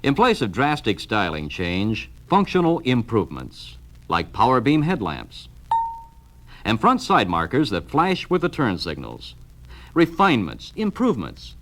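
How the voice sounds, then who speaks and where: A man's voice: on the low side, a bit bright. An American man reads scripted promotional film narration in a punchy, emphatic salesman's delivery, from a vintage 1970 record. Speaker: narrator of a car dealer training film. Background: music.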